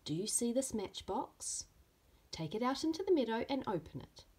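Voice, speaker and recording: A woman voicing a firm, dismissive mother in a storybook, giving clear instructions. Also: fairly high pitch, big pitch swings, normal speed, a little mellow, voice pushed up. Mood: storytelling.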